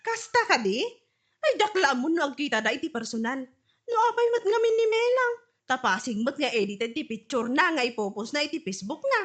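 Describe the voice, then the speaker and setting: A woman's voice: high voice, big pitch swings, fairly quick, a bit bright. A woman playing an astonished, gossip-hungry village woman in an Ilocano comedy drama, exclamatory and disbelieving, with an Ilocano accent. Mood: surprised, gossipy.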